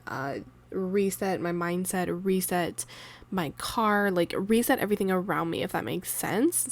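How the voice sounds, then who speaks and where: A woman in her thirties with a raspy voice from a cold, chatting loosely and laughing in a planner voiceover. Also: medium pitch. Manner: rambling, chatty, laughs often. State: sore throat from a cold. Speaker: planner vlogger. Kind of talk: casual voiceover chat. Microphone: voiceover mic.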